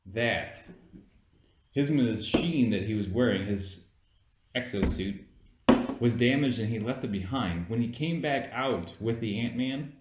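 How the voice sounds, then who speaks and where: Low-voiced man in an echoey room. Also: expressive, measured pace, very noisy. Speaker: amateur movie review co-host. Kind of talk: casual argumentative film chat between friends.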